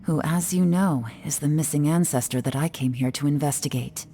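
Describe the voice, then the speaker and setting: Casting: cool, shrewd female investigator for a game, acted by a woman, low and dark-toned, composed. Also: slight background noise, lightly acted. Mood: grave, explaining. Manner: composed, measured. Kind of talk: game voice acting. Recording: music behind the voice.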